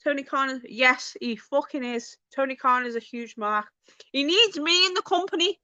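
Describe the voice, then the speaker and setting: A woman's voice: high-pitched, normal speed, sharp tone, no echo. A woman with a Liverpool accent chatting casually to her viewers as a channel host, informal and sweary, addressing them by name. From Liverpool, England. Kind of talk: casual livestream chat with viewers.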